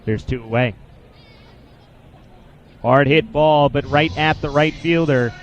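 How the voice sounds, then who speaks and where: A man in his twenties doing live baseball play-by-play for radio, in a measured, descriptive way with statistics between pitches. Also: muffled recording. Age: 20s. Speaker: play-by-play sportscaster.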